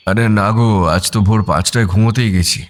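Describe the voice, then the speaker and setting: An actor playing an easygoing but jittery young student in a radio drama, casual and excitable. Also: male voice, lowish voice, normal speed, close to an everyday voice. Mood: feigned sleepiness. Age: young adult.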